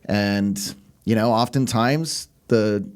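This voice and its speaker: A man's voice: lowish voice, slow, smooth. A man chatting casually and informally on a podcast, acting out examples as quoted speech. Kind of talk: casual chat.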